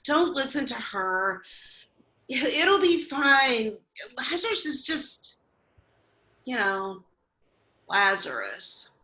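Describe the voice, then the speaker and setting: A woman's voice: sits high, sing-song, very slow, long pauses, sharp tone, reverberant, exaggerated voice, poor audio. A woman playing a bossy, brusque yet reassuring sister in a staged comedy reading. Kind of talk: staged reading of a comic play.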